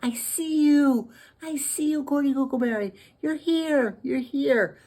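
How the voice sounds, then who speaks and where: A woman voicing a trapped, excitable male agent in a told story, pleading and exclaiming in repeated phrases. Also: mid-range voice, a little slow, gravelly, voice pushed up. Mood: amazed.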